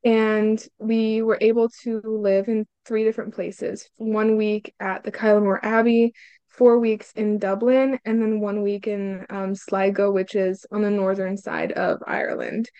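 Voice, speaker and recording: A woman's voice: medium pitch, little pitch movement, measured pace, warm tone, clear, resonant tone, no room sound, dull audio. Young woman student from hungary chatting, lots of likes and ums, dry close audio. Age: young adult. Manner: upbeat, frequent "like" and "um" fillers. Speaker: student guest. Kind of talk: casual interview answers.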